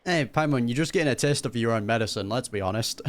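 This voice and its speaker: A man's voice: mid-range voice, quick pace. A man giving casual, joking reaction commentary as a gaming streamer. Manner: joking, chuckles often.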